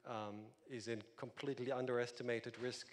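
A man's voice: mid-range voice, fairly even intonation, moderate pace. A middle-aged man, a government official, speaking matter-of-factly on a conference panel into a handheld mic. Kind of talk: panel discussion.

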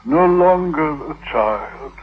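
An actor playing a fussy, fond, tippling Victorian husband in a 1950s radio drama, speaking in a hesitant mutter. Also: male voice, on the high side, expressive, slow. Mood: tipsy, merry. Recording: tinny vintage 1950s radio recording.

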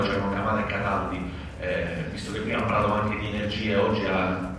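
A male sports reporter politely asks a press conference question in a rather low voice. He sounds far from the mic, with heavy echo around him. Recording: echoey noisy hall, distant mic.

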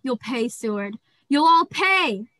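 A woman's voice: sits high, expressive, takes it slowly, bright, forceful tone, no echo, full character voice. A woman acting a deranged male asylum patient in a radio play, pleading and gleeful, repeating words obsessively. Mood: menacing fervor.

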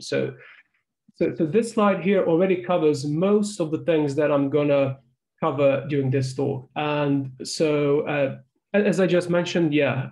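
A man's voice: a little high, darkish tone. A middle-aged man whose first language is Croatian gives an online research talk over a video call, often restarting and repeating "so, so". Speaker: guest speaker. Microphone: webcam on a video call.

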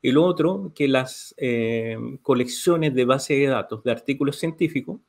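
A man's voice: medium pitch, normal speed. A middle-aged man with a Chilean Spanish accent, explaining services step by step on a video call, with many "eh" fillers. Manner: steady, detailed, with frequent "eh" fillers. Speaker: university library official. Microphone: video call webcam.

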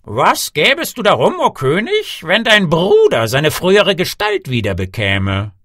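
A man's voice: a little high, wildly swooping pitch, unhurried, pushed and bright, no room sound, clean studio sound, pitched above the performer's own voice, exaggerated voice. A man voicing a solemn, stately old man in a storybook tale, speaking in a declamatory way. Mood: solemn bargaining. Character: stately long-bearded old man, solemn, demanding a grim price. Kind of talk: storybook character voice.

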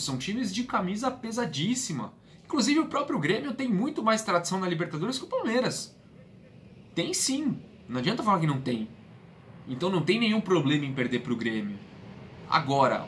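A man's voice: a little high, a bit bright. A man in his late twenties with a Brazilian Portuguese accent, talking casually and earnestly as a fan vlogger, repeating phrases for emphasis. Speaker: football fan channel host. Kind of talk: casual vlog monologue. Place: small bedroom, indoors. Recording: clear background room noise.

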